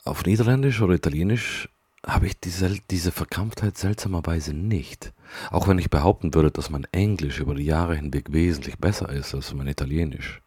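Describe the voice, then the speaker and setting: A man speaking a reflective diary-style monologue in measured sentences that often trail off quietly at the ends. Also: low-pitched, breathy edge, no room sound, studio quality. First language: German.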